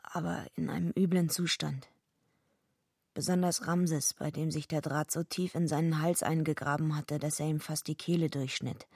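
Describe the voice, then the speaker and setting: A tough, defiant street-hardened woman wrestler tells her noir story in a radio drama. Her voice is very creaky and husky, set fairly low, and her manner is blunt, bitter and sardonic. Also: steady tone, normal speed, a little mellow, dry recording, studio quality, exaggerated voice. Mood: grim narration. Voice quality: gravelly, husky. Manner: gruff, blunt, sardonic, swearing. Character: tough, foul-mouthed female wrestler living rough, bitter, defiant. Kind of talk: radio drama, first-person noir narration and dialogue.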